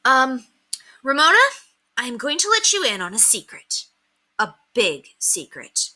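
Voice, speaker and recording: A woman plays a desperate schoolboy in a children's storybook, pitched up and very bright. He is urgent and scheming, with big swings as he exclaims and coaxes. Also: big pitch swings, unhurried, exaggerated voice, low-quality recording. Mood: coaxing. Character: desperate, scheming school-age boy trapped in clubhouse.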